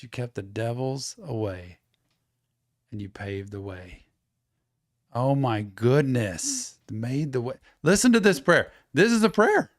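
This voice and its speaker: A man hosting a music reaction, slightly hoarse from losing his voice, eagerly repeating lines back and affirming them. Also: mid-range voice, no echo, studio quality. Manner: enthusiastic, repeats lyrics back and affirms with yeps. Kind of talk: casual music reaction commentary.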